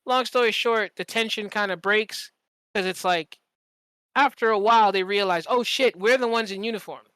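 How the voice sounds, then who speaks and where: Podcast host, mid-pitched and crisp, slangy and sweary storyteller, animated, performs quoted dialogue, dry close sound. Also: moderate pace, no echo. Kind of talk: casual storytelling in conversation.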